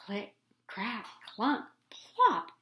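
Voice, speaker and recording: A woman reading a children's picture book aloud as a storyteller, with dramatic, deliberate emphasis, recorded in an echoey room. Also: on the high side, big pitch swings, sharp tone.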